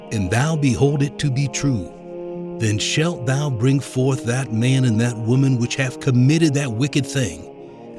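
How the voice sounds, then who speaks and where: An actor playing a pious, coolly menacing evangelical reverend in an audio drama, with a measured, preacherly delivery. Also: male voice, mid-range voice, close to an everyday voice. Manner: preacherly, measured, self-assured.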